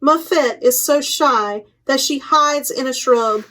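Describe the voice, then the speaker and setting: A woman hosts a children's storytime in a high-pitched voice. She reads at a slow, deliberate pace, leaning playfully on key words for dramatic effect. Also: sharp tone. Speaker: children's librarian, storytime host. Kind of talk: expressive picture-book read-aloud for children.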